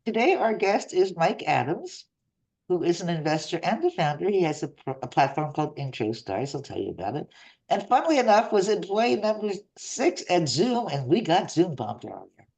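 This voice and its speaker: A woman hosting an online investor meetup, lively and laughing often. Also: lowish voice, moderate pace, creaky, low-quality recording. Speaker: host. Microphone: video call.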